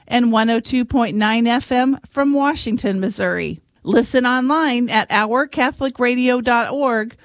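Lady reading radio station notice, bit high pitched, dry close mic. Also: no echo. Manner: clear, measured reading. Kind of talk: scripted announcement.

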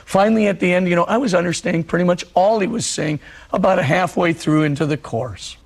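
Husky-voiced preacher with a hoarse, gravelly tone. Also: male voice, high voice, fast. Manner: earnest storytelling preacher, repeats phrases for emphasis. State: hoarse, losing his voice. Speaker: priest. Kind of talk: televised religious talk.

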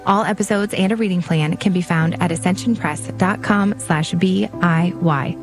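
A woman reading scripted show announcements and credits in an even, clear way. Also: on the low side, normal speed. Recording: voice over background music.

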